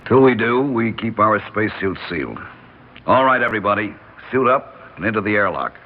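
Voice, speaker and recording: An actor playing a calm, steady male spacecraft commander in an old radio drama, giving clipped orders. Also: on the low side, fairly quick. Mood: commanding. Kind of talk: 1950s radio drama acting. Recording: tinny old radio broadcast with faint hiss.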